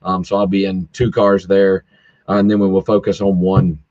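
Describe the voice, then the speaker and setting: A hoarse man talks shop about racing in a loose, rambling way, his sentences restarting as he goes. His voice sits low and the call audio is dull and lo-fi, with a dry sound and no room around it. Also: level tone, normal speed, darkish tone, no room sound. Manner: rambling, with many fillers and restarts. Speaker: drag racer guest. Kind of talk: casual podcast chat. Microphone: video call, home internet.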